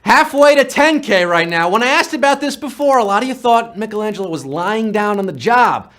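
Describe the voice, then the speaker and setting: A man's voice: high voice, animated, a bit bright. A man hosting a live trivia game show, reading questions and joking playfully between answers. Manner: playful, punning, fast-patter quiz patter. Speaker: quiz show host.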